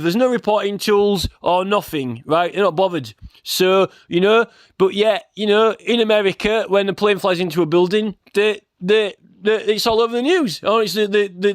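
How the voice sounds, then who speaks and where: A man's voice: high-pitched, dry recording, studio quality. A man giving a rambling, informal monologue, insistent and aggrieved, often tagging his points with a checking word. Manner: aggrieved, insistent, repeatedly tags points with "right?". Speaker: complainant campaigning about aviation safety.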